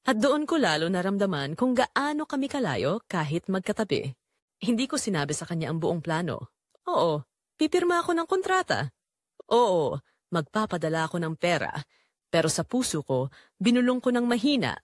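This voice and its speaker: A woman playing an unhappy wife and mother with a Filipino accent, confessing her betrayal in measured, reflective first-person audio drama narration. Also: medium pitch, big pitch swings, dry recording, studio quality. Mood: troubled, resolute.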